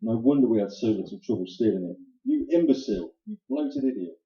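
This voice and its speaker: An actor in a noir radio play, playing a fussy, nervous, spiteful crook who talks in a prissy, precise way. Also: male voice, medium pitch, huge pitch swings, fairly quick, gravelly. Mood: agitated. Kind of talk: staged radio play acting, 1940s noir. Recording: roomy, dull live stage recording.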